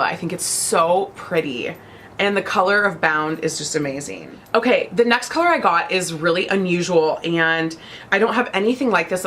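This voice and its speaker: A woman's voice: lowish voice, a bit bright, a little hiss or hum. A young woman hosting a beauty vlog, chatting casually and gushing about products, still a bit congested from a cold. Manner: bubbly, gushing, chatty with many fillers. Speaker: beauty vlogger. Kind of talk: casual chat.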